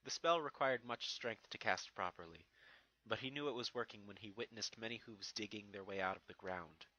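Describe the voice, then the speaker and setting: A man's voice: lowish voice, a little fast, bright, forceful tone, lo-fi sound. A man giving a dramatic scripted narration of a fantasy fan fiction story.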